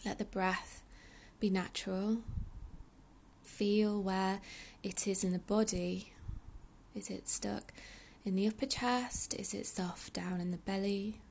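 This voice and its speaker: Soft woman guiding meditation, slightly gravelly. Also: mid-range voice, fairly even intonation, a little hiss or hum. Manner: soothing, unhurried, breathy soft delivery with pauses. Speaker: meditation guide, yoga teacher. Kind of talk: guided meditation.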